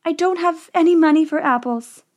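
A woman voicing a young princess in a sweet, eager, higher voice. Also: moderate pace, darkish tone, lo-fi sound.

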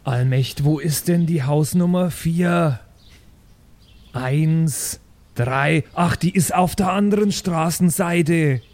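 A man's voice: on the high side, takes it slowly, a little mellow. A man playing a flustered, suspicious schoolteacher in an improvised audio role-play, hesitant and colloquial. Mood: puzzled. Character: earnest, flustered, suspicious male schoolteacher amateur sleuth.

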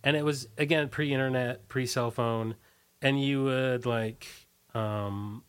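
A middle-aged American man reminiscing casually as an interview guest, talking in a halting, rambling way with lots of "like". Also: mid-range voice, fairly even intonation, clear, resonant tone. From Washington, D.C., USA. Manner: halting, hesitant, rambling, frequent "like".

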